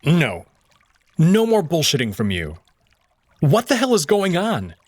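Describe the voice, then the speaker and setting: A man narrating a visual novel in the first person, measured and tense. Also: a little high, huge pitch swings, slightly rough, dry recording.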